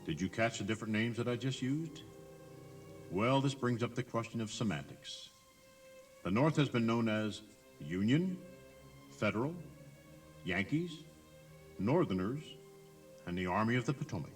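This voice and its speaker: A man's voice: mid-range voice, expressive, moderate pace, long pauses, faint music behind. An American man narrating a history tour in a conversational, emphatic way, putting rhetorical questions straight to the listener. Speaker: audio tour guide narrator. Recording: 1983 cassette tour tape.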